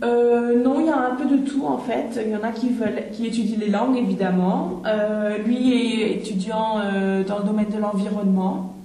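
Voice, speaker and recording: A young woman talks through a set of photos in relaxed conversation practice. She hesitates often and fills pauses as she goes. Her voice is mellow, in an echoey room. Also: fairly high pitch. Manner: hesitant, many fillers. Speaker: interviewer describing photos.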